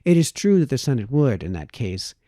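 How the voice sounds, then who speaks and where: Male audiobook reader for formal historical prose; relaxed, dark-toned voice with animated intonation, dry recording. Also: medium pitch, brisk, dry recording. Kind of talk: reading a formal historical essay aloud.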